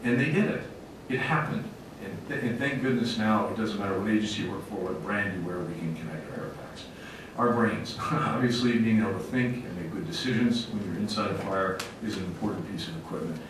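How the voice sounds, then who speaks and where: A man with a fairly low voice gives a public presentation as a fire officer. His delivery is emphatic and plainspoken, with a folksy turn. He is recorded at a distance in a very echoey meeting room. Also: a little fast. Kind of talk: public presentation to a council committee. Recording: very echoey room, distant mic, faint rumbling noise.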